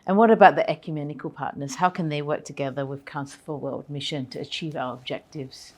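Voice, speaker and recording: A woman's voice: low voice, steady tone, brisk. A woman interviewer asking conversational questions, somewhat hesitant as she searches for her words.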